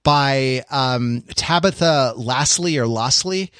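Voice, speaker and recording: A man co-hosting a podcast in casual conversation, rambling and halting as he circles back to rephrase his thoughts. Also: mid-range voice, a bit bright, clean tone, dry recording, studio quality. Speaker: podcast co-host.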